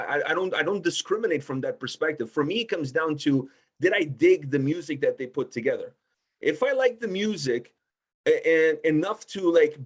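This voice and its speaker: A man talking casually in a roundtable discussion, blunt and emphatic, swearing freely. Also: on the high side. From Portugal. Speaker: music interviewer with own video channel.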